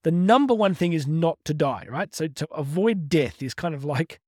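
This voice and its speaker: A man, giving an energetic, conversational motivational podcast talk on his own and often restarting his words. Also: on the high side, normal speed, no room sound, clean studio sound. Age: 50s. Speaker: motivational speaker. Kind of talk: solo motivational podcast monologue.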